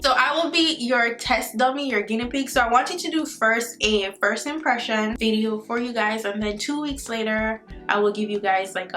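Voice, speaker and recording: A woman's voice: on the high side, fairly bright tone. A young woman in her twenties with a Jamaican accent, chatting upbeat and directly to viewers in a casual skincare vlog, recorded at home on a camera mic. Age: 20s. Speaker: beauty vlogger. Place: indoor room at home, not a bathroom.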